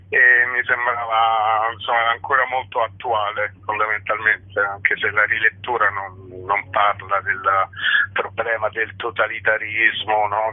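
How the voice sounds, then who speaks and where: A man's voice: on the low side, no room sound. A man speaking as an interview guest, a theatre director explaining his work conversationally with many hesitant filler phrases. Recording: tinny phone line.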